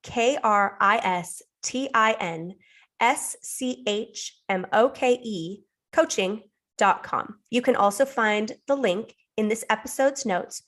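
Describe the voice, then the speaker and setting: A woman's voice: a little high, fairly bright tone. A woman, around her thirties, talking conversationally as a podcast co-host.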